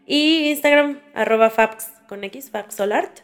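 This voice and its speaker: A woman's voice: high-pitched, a little slow. A woman with a Mexican accent chatting casually and informally as a podcast co-host. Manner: informal, conversational. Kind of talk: casual chat. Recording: music under the voice. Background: background music.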